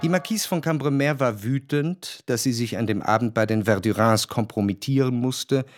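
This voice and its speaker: An actor playing the reflective, observant first-person narrator of a German radio drama, speaking in a measured, literary way. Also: male voice, medium pitch, no room sound, clean studio sound, soft background music, close to an everyday voice. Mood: reflective, wry.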